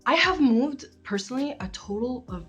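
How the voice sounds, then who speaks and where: A woman's voice: sits high, expressive, normal speed, a bit bright, husky, dull audio, faint music behind. An Egyptian woman in her twenties, talking casually to camera about her life as a channel host, with many likes and ums. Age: 20s.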